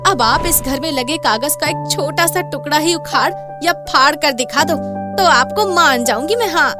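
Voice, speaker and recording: Woman playing a high-voiced, determined young village wife. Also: animated, normal speed. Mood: confident. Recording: clear background music under voice.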